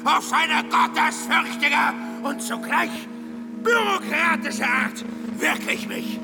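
An actor playing a manic, grandiose man in psychosis who believes himself the messiah, shouting ecstatically in a radio play. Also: very high-pitched, slow pace, gravelly, full character voice. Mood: raving. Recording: loud music under the voice.

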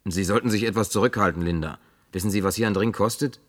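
A man's voice: on the low side, quick pace, lightly acted. A man dubbing a wary, down-to-earth man who answers in a skeptical, curt way. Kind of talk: German film dub.

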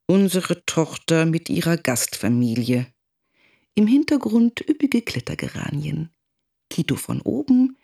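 Radio drama role: brooding, resentful middle-aged mother, husky, expressive range. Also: low voice, exaggerated voice. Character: anxious, angry middle-aged mother estranged from her husband. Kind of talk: dramatic first-person monologue.

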